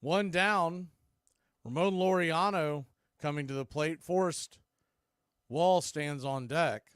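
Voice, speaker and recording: A man's voice: on the high side, takes it slowly, clean tone, dry recording, clean studio sound. A man calling live baseball play-by-play for radio in clipped, punchy phrases. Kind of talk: live radio sports commentary.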